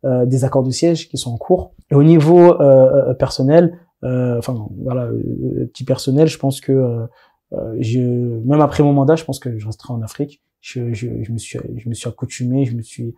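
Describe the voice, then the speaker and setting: Dark, husky French man, halting. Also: medium pitch, steady tone. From France. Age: 30s. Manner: hesitant, many restarts and fillers. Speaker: interview guest. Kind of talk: casual interview conversation.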